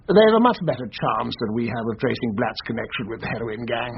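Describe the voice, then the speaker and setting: An actor playing a brisk, confident upper-class colonel who is easily exasperated, in a radio drama. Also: male voice, medium pitch, sing-song, gravelly. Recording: muffled radio drama with faint noise.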